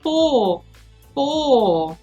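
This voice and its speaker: A man's voice: extremely high pitch, sing-song, extremely slow, mellow, slightly rough, lo-fi sound, faint music behind, voice pushed up, exaggerated voice. A woman voicing a tortured male prisoner in an audiobook-style reading, terrified and pleading in anguish. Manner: pleading, anguished outbursts with repeated words.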